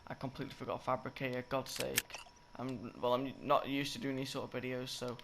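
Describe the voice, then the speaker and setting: A croaky, slightly sick young man rambling through a game-building tutorial, often correcting himself. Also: mid-range voice, flat delivery, a little fast. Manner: rambling, self-correcting, filler-heavy. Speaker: gaming tutorial creator. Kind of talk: casual gameplay tutorial commentary. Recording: loud game noise behind. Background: game sound effects and clicks.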